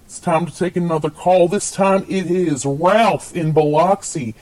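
A woman voicing a pompous male money-advice radio host in a parody call-in show, self-assured, preachy and deadpan. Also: lowish voice, unhurried, lightly acted. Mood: confident, preachy.

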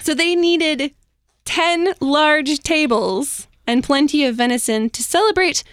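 Woman telling a story, higher-pitched, close dry sound. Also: slow, no echo. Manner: casual, slangy, chatty asides. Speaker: host telling a history story. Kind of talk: informal storytelling among co-hosts.

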